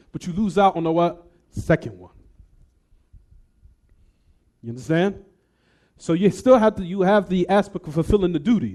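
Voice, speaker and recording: Man teaching a lesson, dark voice. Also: a little high. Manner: asks the class rhetorical "what?" questions and checks "everyone?"; casual, conversational English mixed with Arabic terms. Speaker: Islamic teacher. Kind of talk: classroom lesson explanation.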